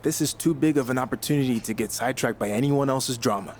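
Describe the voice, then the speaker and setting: A man's voice: medium pitch, quick pace, darkish tone, close to an everyday voice. A man playing a confident, blunt, self-focused young wrestler in a video game, matter-of-fact in delivery. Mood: cocky, firm. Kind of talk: video game cutscene dialogue. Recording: faint background noise.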